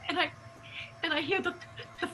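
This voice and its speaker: Female actor, hard-drinking devoted lover, high-pitched, forceful, melodramatic, improvised radio play, music underneath. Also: steady tone, normal speed, slight background noise, rough recording. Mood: remorseful, tearful. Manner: melodramatic, breathless urgency. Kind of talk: improvised radio soap opera acting.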